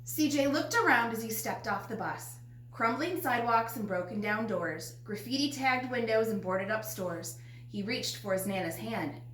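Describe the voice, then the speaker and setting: High-ish woman reading a picture book. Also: normal speed, fairly bright tone. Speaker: storybook reader. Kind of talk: children's picture book read-aloud. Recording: roomy echo, faint background noise.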